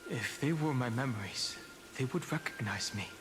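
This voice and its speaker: A man acts as a young male game warrior with a mid-pitched voice. He is reserved and serious, choosing terse, measured words over a clear music bed. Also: expressive, lightly acted. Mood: firm, serious. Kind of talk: scripted video game drama.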